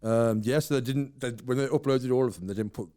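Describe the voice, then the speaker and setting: A man co-hosting a casual podcast chat, his voice dark and mellow. He rambles through long asides and laughs a lot. The sound is close and dry, with no room around it. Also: medium pitch, quick pace, no echo. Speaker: podcast co-host.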